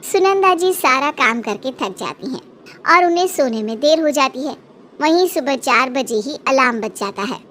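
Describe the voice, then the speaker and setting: A woman storyteller with a very high, childlike pitch reads a scripted children's tale. Faint noise runs behind her narration. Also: expressive, normal speed, a bit bright.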